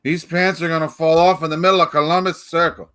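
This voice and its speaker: An actor playing a drunken, grumbling department-store parade Santa in a radio play, tipsy and defiant. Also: male voice, fairly high pitch, flat delivery, fast talker, fairly bright tone, clear, resonant tone, lightly acted. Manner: tipsy, sing-song, grumbling. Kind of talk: 1940s-style radio play acting.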